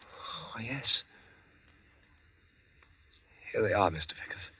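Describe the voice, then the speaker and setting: An actor playing an anxious, intellectual accused man, halting and earnest, in theatrical 1940s radio drama style. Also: lowish voice, animated, sharp tone, husky, dry recording, full character voice. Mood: shocked, defensive. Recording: muffled, dry vintage radio recording.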